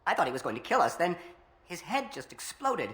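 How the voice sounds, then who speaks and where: An anxious, protective civilian from a video game. He speaks earnestly and plainly, with pitch that moves around a good deal. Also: sing-song, a little fast, fairly bright tone. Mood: shaken, worried.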